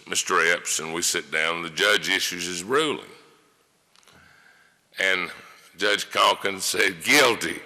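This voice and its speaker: Gravelly, forceful low-voiced man telling stories. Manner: folksy, halting anecdotal storyteller. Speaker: criminal defense lawyer giving a eulogy. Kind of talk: informal eulogy storytelling. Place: large indoor hall before an audience. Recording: echoey hall with long reverb.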